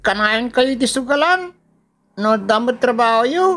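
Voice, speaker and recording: A woman acts out a young Ilocano child in a radio-style family drama. The voice is clearly high and youthful, with an earnest, loving but frank way of speaking. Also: lively intonation, unhurried, a bit bright. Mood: pleading, reproachful.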